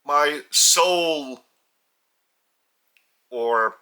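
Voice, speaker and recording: Lithuanian guy giving a spiritual talk, halting little phrases, super slow, tense bright voice. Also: a little high. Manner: halting, phrase-by-phrase delivery with pauses. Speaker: spiritual teacher.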